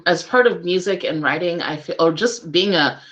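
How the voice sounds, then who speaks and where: Bright-voiced female radio interviewer, encouraging. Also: fairly low pitch, moderate pace, muffled recording. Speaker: radio show host. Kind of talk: casual interview chat.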